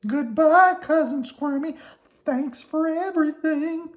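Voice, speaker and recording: A woman's voice: sits high, voice pushed up. A woman voicing a small, eager male worm in a children's storybook, exclaiming and stretching out words, easily spooked. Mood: earnest. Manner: exclaiming, wide-eyed, drawn-out words.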